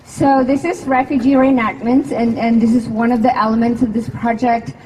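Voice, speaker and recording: A woman moderates a campus panel, slightly high in pitch, pausing often on fillers and restarting her sentences. She is heard from some distance off the mic, with a faint hiss in the outdoor air. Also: flat delivery, darkish tone. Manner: hesitant, many fillers and restarts. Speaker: faculty member and panel moderator. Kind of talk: casual panel introduction.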